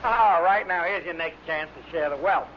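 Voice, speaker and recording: High-voiced male quiz host, old radio. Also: normal speed. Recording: muffled, noisy old radio broadcast.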